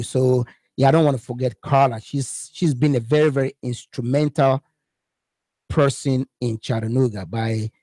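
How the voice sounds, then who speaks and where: A man with a Ghanaian accent, speaking as a guest in a radio studio. His voice is mid-pitched, and the mic sound is close and dry. He talks casually and often repeats a word or two before he gets going. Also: darkish tone, no room sound. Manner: repeats words when starting a thought.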